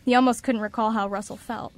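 A woman's voice: on the high side, steady tone, fast. A woman narrating a scripted story for a radio drama.

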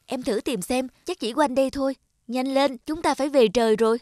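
A woman voicing anxious young fairy maidens in an animated fairy tale. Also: high-pitched, fairly quick, no echo, clean studio sound, close to an everyday voice. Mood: anxious, urgent. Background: prominent music.

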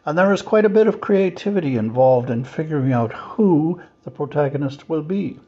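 A man's voice: a little high, lively intonation, normal speed, dark tone, husky, lo-fi sound. A man reading quoted passages from a script in a church service.

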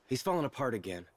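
Flustered young mountain man, lively-pitched. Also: dry recording. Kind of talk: animated game cutscene acting.

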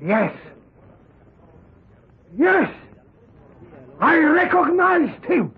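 An actor playing a timid, cringing defendant who stammers haltingly in a 1970s radio drama. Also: male voice, very high-pitched, very animated, exaggerated voice. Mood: hesitant. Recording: tinny, echoey 1970s radio recording.

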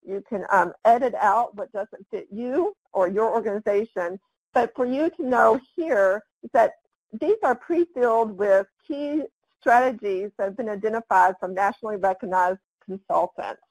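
A woman presenting an online webinar, talking through slides and often pausing with "um". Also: mid-range voice, darkish tone, breathy edge, no room sound, poor audio. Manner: halting, with frequent "um" fillers.

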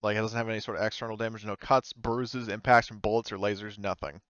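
Deeper-voiced man running a tabletop game. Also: little pitch movement, fast talker, a bit bright, clear, resonant tone, no room sound, muffled recording. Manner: hesitant, rambling. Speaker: game master. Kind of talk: improvised narration and table talk in a tabletop roleplaying game.

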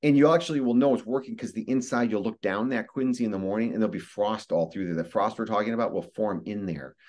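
Low-voiced middle-aged American man explaining informally. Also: fast talker, darkish tone. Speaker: guest expert giving a presentation. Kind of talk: informal explanatory webinar talk. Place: indoor room, video call. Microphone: video call microphone.

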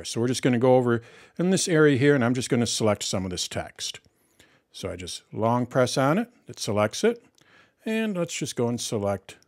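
A man's voice: mid-range voice, no echo, studio quality. A middle-aged man hosting a tech tutorial, explaining each step and answering his own questions. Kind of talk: screen-recorded tutorial walkthrough.

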